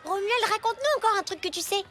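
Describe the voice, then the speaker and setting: A woman voicing a bossy, gushing, excitable young schoolgirl in a French TV cartoon. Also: very high voice, quick pace. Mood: awed.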